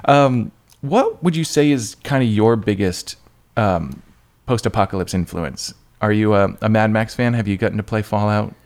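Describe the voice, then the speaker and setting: A man hosting a podcast interview in casual conversation, chatty, agreeable and quick to affirm. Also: lowish voice, darkish tone. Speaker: podcast host interviewing an author.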